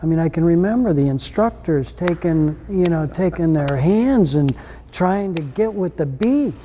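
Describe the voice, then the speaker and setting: Relaxed older man telling anecdotes. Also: fairly high pitch, unhurried, a little hiss or hum. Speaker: interviewee, husband. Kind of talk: casual interview talk.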